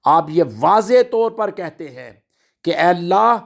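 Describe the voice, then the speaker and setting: A man preaching an Islamic sermon in an emphatic, exhorting way, with a gravelly voice and rhetorical repetition of phrases. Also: high voice, very animated, slow. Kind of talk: religious sermon with recited citations.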